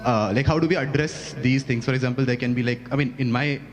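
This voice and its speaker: A man's voice: medium pitch, steady tone, fast talker. Guy in the crowd asking a question, lots of likes, kinda unsure. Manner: hesitant, many "like" fillers. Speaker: audience questioner. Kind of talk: question from the audience. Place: festival hall.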